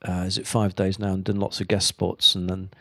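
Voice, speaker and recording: A man with a fairly low voice, recorded close and dry, chats as an interview guest. He is thoughtful and a little halting, with a self-deprecating streak. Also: little pitch movement, creaky, bright, forceful tone, no echo. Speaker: pun comedian being interviewed. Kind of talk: casual interview chat.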